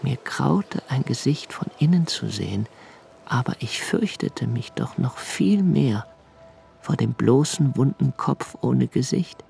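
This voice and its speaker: An actor playing an anxious, brooding young writer in a literary radio drama monologue, intense and deliberate in delivery. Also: male voice, mid-range voice, animated, gravelly, a little mellow, full character voice. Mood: haunted, fearful. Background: music.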